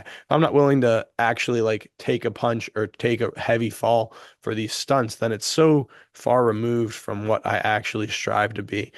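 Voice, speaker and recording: A man's voice: on the low side, dry recording, studio quality. A man co-hosting a podcast in casual, enthusiastic chat, earnest and slangy. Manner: earnest, animated wording with slangy "dude" and "like". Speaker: podcast co-host.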